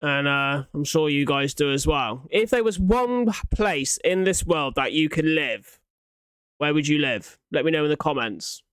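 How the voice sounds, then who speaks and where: A man's voice: on the high side, animated, a little slow, a bit bright, clean tone, no room sound. A young man giving casual reaction commentary, thinking aloud in an informal, sweary way. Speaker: reaction video host.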